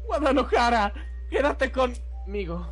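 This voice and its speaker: A woman's voice: on the high side, lively intonation, slow, husky, soft background music, voice pushed up, full character voice. A woman voicing an anguished, protective young male horror-game hero with melodramatic pleading.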